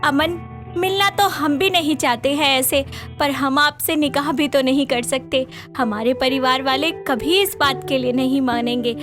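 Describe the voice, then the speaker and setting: A woman playing a gentle, polite and earnest young woman, pleading courteously in an animated moral story. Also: high voice, measured pace, over music. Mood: tender, worried.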